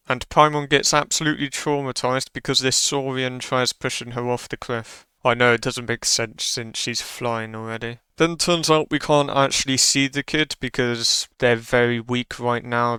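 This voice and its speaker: Male gaming YouTuber, casual commentary, bright tone, offhand, dry close sound. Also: mid-range voice, clear, resonant tone, dry recording. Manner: informal, offhand.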